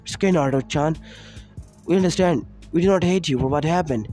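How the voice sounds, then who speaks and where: A man voicing a loving mother in a read-aloud fan story, speaking softly and reassuringly. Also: a little high, a little fast, faint music behind, pitched above the performer's own voice, close to an everyday voice. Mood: soothing. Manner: soft, hushing, reassuring. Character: loving mother, soothing and protective.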